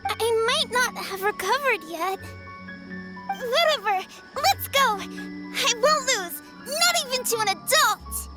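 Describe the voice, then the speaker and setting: Very high-voiced, plucky little girl. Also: lively intonation, slow pace, full character voice. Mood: eager. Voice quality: childlike. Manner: earnest, determined. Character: plucky, competitive little girl runner, recovering from illness. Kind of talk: video game character acting. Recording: music playing behind the voice.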